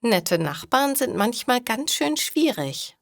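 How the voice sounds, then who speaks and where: A woman narrating scripted stories for language learners in a deliberate, clearly phrased way. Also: a little high, very animated, no room sound, studio quality. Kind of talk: scripted narration, educational storytelling.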